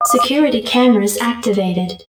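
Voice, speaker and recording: A woman's voice: on the high side, moderate pace, fairly bright tone, lightly acted. A woman playing an impersonal security computer in a video game, making clipped, formal announcements. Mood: impassive. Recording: echoey, distant announcement.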